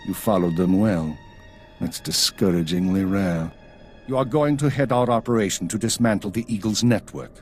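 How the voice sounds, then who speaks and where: An actor playing a stern, commanding intelligence chief in a sci-fi game, speaking with grave, clipped authority. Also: male voice, lowish voice, moderate pace, a little mellow, soft background music, close to an everyday voice. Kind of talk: video game voice acting.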